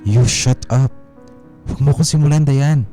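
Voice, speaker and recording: A man's voice: medium pitch, normal speed, dark tone, close to an everyday voice. An actor playing an evasive, short-tempered pilot husband in his thirties in a radio drama. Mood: defensive, irritated. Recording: music bed behind the voice.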